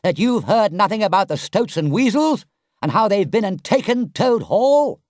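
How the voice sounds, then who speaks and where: A man's voice: medium pitch, huge pitch swings, normal speed, darkish tone, no room sound, studio quality, voice pushed up. A man voicing a sensible, loyal water rat from a storybook, with a firm, emphatic, scolding manner. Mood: shocked, urgent.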